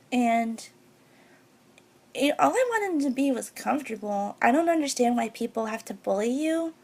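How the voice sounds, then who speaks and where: A young woman with a high, girlish voice that sits above most women's, with a gravelly fry at the ends of her phrases. She speaks to her viewers earnestly and a little hesitantly, in a personal, heartfelt way. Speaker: beauty vlogger. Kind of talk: personal talk to camera.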